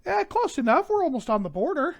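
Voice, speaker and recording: A woman's voice: high voice, very animated, brisk, creaky, a crowd in the background, pitched above the performer's own voice, exaggerated voice. A man doing a chatty elf woman. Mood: friendly, chatty. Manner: chatty and welcoming. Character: friendly young elf woman tourist.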